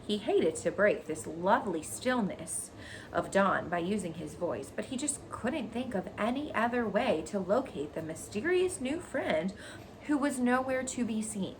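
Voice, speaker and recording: Casting: female teacher reading to children, lively mid-range voice, informal explanations, audible noise behind. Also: medium pitch, measured pace, poor audio. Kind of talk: expressive children's story reading with casual explanations. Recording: noticeable background noise.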